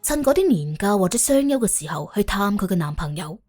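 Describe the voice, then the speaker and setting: A woman narrating an audiobook in an animated, colloquial storytelling style with a wry, expressive manner. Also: mid-range voice, fairly quick, faint music behind.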